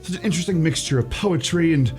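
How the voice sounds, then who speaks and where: A man's voice: on the high side, animated, quick pace, darkish tone, soft background music, natural voice. An actor playing a teasing, proud, persistent male shop clerk in a radio romantic comedy, wry and mock-polite. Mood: wounded, sarcastic. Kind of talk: old-time radio romantic comedy acting.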